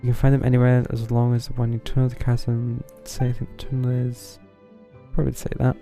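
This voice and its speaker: A man's voice: medium pitch, completely flat delivery, fairly quick, dark tone. A man streaming a video game with casual live commentary, reading dialogue aloud and mumbling through parts of it. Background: game music.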